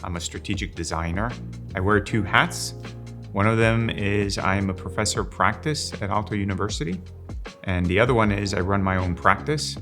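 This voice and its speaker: Deep, gravelly older man. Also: moderate pace, over music. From Finland, raised in Rome, Italy. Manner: thoughtful, explanatory, poses rhetorical questions. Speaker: strategic designer and professor of practice. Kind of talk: reflective interview explanation.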